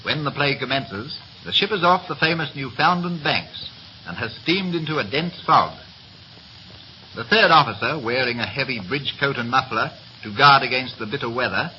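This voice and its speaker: A male announcer reads scripted narration in a formal 1930s radio style. His pitch is medium and his diction deliberate. The recording is dull, with a light hiss. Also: bright, forceful tone.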